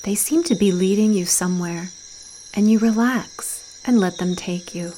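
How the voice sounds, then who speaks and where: A woman narrating a guided visualization in a soft, soothing, deliberate voice with breathy phrase endings and long pauses. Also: medium pitch, slight background noise, low-quality recording.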